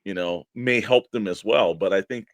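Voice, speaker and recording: A man's voice: lowish voice, moderate pace, no room sound. A man co-hosting a hobby show in casual discussion, with a loose, meandering way of phrasing things. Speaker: co-host of a toy-collecting show.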